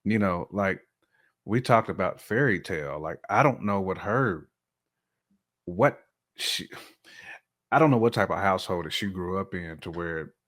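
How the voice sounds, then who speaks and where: An American man with a fairly low voice talks casually as a podcast co-host. He states opinions emphatically, circles back to repeat them and slips into imagined quotes to make his point. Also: animated, measured pace, long pauses, fairly bright tone. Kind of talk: casual opinionated relationship discussion.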